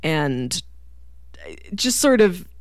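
A woman hosting a podcast, chatting casually about film in an animated, expressive way. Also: on the low side, slow pace, no echo, studio quality. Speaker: podcast host.

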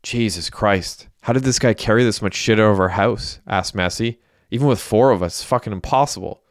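A man reading as a short-tempered young guy who curses freely in a storybook reading. The voice is low and smooth. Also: fairly quick, no echo, clean studio sound, close to an everyday voice. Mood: exasperated. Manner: exasperated, swearing. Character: young man, blunt and irritable.

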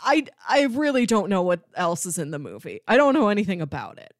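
A woman co-hosting a podcast in relaxed, casual conversation. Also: medium pitch, a little fast, no echo, studio quality. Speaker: podcast co-host. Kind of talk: casual chat.

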